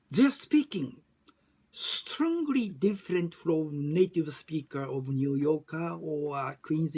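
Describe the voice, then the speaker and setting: A woman with a Japanese accent speaking non-native English in a halting, emphatic way, repeating phrases as in an informal personal talk. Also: medium pitch, slightly rough. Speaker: discussion group chair and facilitator. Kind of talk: informal monologue about personal experience.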